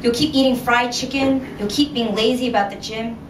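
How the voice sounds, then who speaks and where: Female author at a live literary reading, slightly high voice, measured expressive delivery; echoey, picked up from afar. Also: recorded from a distance. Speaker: author reading own short story. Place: indoor venue at a reading series. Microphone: recorded from a distance in the room. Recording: roomy, noisy live recording from afar.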